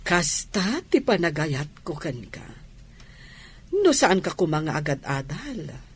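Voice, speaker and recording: An actress playing a generous, devoted lover in a radio drama, husky, with a Filipino accent. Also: female voice, deep voice, sing-song, takes it slowly, slight background noise, exaggerated voice. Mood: doting. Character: generous, devoted lover offering money and support. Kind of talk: Ilocano radio drama acting.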